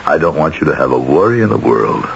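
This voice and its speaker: An actor playing a polite, deliberate hired killer and husband in a theatrical radio drama style. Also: male voice, rumbling low, lively intonation, vocal fry, exaggerated voice. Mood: contented, assured. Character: smooth male hired killer, doting husband, untroubled conscience. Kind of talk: 1970s radio drama acting. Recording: muffled, noisy vintage radio recording.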